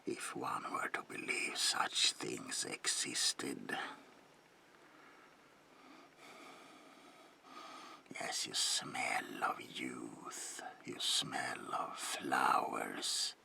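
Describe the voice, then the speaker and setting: Creepy whispering old vampire, very deep. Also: male voice, sharp tone, full character voice. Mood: wistful, eerie. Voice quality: breathy gravelly whisper. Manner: slow, theatrical, lingering whisper with archaic politeness. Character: creepy old male vampire baron, courteous, eerie, menacing. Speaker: ASMR roleplay performer. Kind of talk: whispered ASMR horror roleplay.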